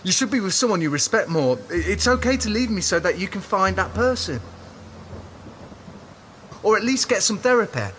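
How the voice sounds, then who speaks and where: A man's voice: sits high, big pitch swings, moderate pace, long pauses, fairly bright tone, a little hiss or hum. A man playing a hurt, sensitive but stubborn boyfriend in an audio drama, earnest and emotional. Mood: resigned, probing. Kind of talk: audio drama acting, intimate dialogue. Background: music.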